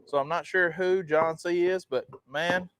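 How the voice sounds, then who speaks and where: A man's voice: high-pitched, steady tone, measured pace, a bit bright, a little hiss or hum. A man with a Southern Mississippi accent, chatting casually as a cooking show co-host and reading out viewer comments. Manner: laid-back drawl, laughs often, reads viewer comments. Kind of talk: casual chat.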